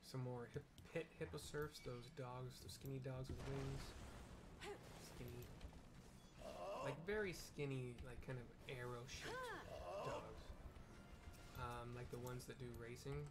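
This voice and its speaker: A middle-aged man casually describing what happens in a video game as he plays, in a halting, conversational way. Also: mid-range voice, fairly even intonation, heavy background noise. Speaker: game streamer, narrator. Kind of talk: casual play-by-play with audio description of gameplay. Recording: loud game music behind voice. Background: loud game music.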